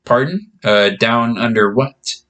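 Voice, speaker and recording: A man's voice: mid-range voice, takes it slowly, fairly bright tone. Casting: male reader as very small boy wizard in a storybook, earnest, anxious, formally courteous. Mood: worried. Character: tiny young Japanese boy wizard, polite, earnest, anxious.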